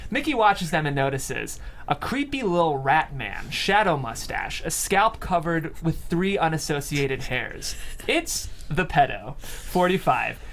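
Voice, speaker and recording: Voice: medium pitch, sing-song, measured pace, creaky, a bit bright, slight background noise. A person reading stage directions aloud at a screenplay table read.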